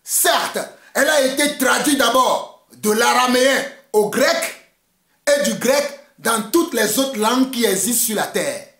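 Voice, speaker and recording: A man's voice: very high-pitched, lively intonation, measured pace, bright, forceful tone, roomy sound. A man from Togo whose first language is Mina, preaching an emphatic, fiery sermon and repeating his points. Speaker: pastor.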